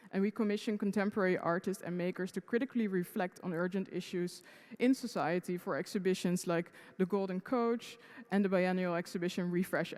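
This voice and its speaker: A woman delivers a prepared panel talk in an earnest, measured way. Her voice sits at an ordinary middle pitch. The long reverb of a conference hall hangs behind it. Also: darkish tone. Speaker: museum panelist.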